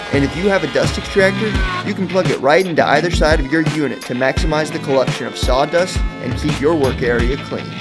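A man reading an upbeat infomercial voice-over for a hand planer. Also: fairly high pitch. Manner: upbeat, salesy. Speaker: commercial announcer. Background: loud music.

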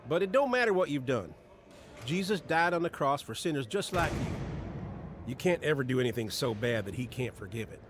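An actor playing an earnest, folksy jailhouse preacher in a radio drama. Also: male voice, medium pitch, sing-song, moderate pace, background noise, natural voice. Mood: earnest, friendly.

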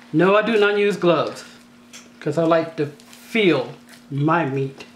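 A woman with a slightly raspy voice hosting a home cooking tutorial, talking in a chatty, down-to-earth way with joking asides. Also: deep voice, slow, slight background noise. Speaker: cooking channel host. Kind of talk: casual cooking tutorial voice-over. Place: home kitchen.

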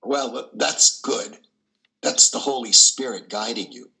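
An older man reads the part of a reclusive, kindly priest in a play. His voice is medium-pitched and strikingly bright. He speaks with gentle, hesitant encouragement. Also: takes it slowly, creaky, exaggerated voice. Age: older, 60s or over. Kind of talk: play reading over video call.

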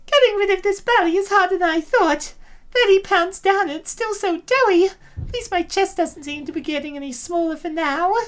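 Exclamatory young comic heroine, performed by a woman, squeakily high and exaggerated. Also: moderate pace. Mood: weary. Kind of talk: comic dub character voices.

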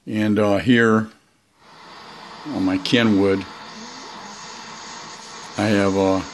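A man with a fairly low voice chats informally as a hobbyist. He explains in an unhurried, halting way, wandering from point to point as if filming at home with his gear. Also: flat delivery, background noise. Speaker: shortwave radio hobbyist vlogger. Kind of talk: casual hobby chat. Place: indoors, small room beside radio gear.

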